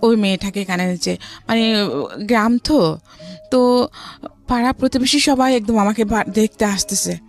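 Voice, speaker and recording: A woman's voice: on the high side, normal speed, pauses often, soft background music. A woman with a Bangladeshi accent telling her personal story as an interview guest, often relaying what others said.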